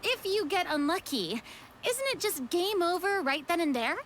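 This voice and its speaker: A woman's voice: extremely high pitch, sing-song, moderate pace, fairly bright tone, a little hiss or hum, exaggerated voice. An actress voicing a bubbly but wary young woman in a video game.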